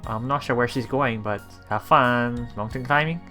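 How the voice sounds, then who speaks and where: A male let's player reads visual novel narration aloud and adds casual comments. His delivery is halting and amused, at a medium pitch. Soft game music runs underneath. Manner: halting, chuckles through his reading. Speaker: let's play streamer. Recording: voice over game background music.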